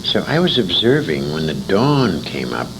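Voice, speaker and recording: Older American male, very low gravelly voice, veteran storyteller, emphatic and dramatic, on a noisy amateur tape. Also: very animated, normal speed. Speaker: interviewee, war veteran and writer. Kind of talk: conversational war storytelling. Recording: noisy amateur recording with room ambience.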